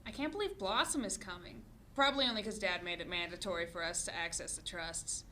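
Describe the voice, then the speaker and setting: Woman playing a bright, sardonic young superhero. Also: on the low side, fairly quick. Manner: sarcastic, wary. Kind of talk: comedic table read. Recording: faint background noise.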